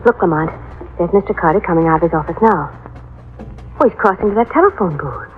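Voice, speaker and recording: An actress playing an eager, quick-witted detective's companion, lively and concerned, in an old radio drama. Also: female voice, on the low side, natural voice. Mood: keen, concerned. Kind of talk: 1950s radio drama acting. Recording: tinny, phone-like old radio recording.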